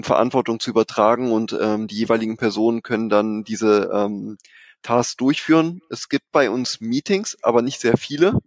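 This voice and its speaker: A man's voice: medium pitch, no echo. A man, a company co-founder, answering interview questions casually with frequent hesitations and fillers.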